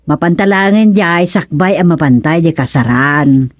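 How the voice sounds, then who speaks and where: A woman's voice: low voice, expressive, moderate pace, no room sound, clean studio sound. A woman with an Ilocano accent playing a practical, teasing village wife in a radio comedy-drama, speaking expressively. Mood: instructing.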